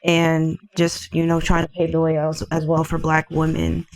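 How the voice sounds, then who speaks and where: A woman's voice: low voice, completely flat delivery, moderate pace, a little mellow, a little hiss or hum. Reflective young woman from D.C. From Washington D.C. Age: young adult. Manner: reflective, searching for words. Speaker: college student guest. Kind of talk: casual interview.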